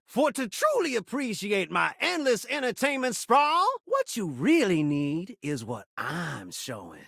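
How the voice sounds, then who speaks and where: A high, wildly swooping voice for a talking television set in a game. He is a flamboyant, needy showman who rhymes and plays up every line like a TV announcer. The tone is bright and the recording is dry and close. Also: huge pitch swings, measured pace, creaky, no echo, exaggerated voice. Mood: smooth, boastful. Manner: theatrical TV-announcer patter, playful, dramatic. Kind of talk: rhyming video game character lines.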